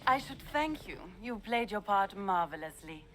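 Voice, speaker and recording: A man's voice: high-pitched, very animated, moderate pace, over music. An actor voicing a smug, theatrical noble villain who betrays his brother in a video game. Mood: gloating. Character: scheming treacherous noble sibling, villain.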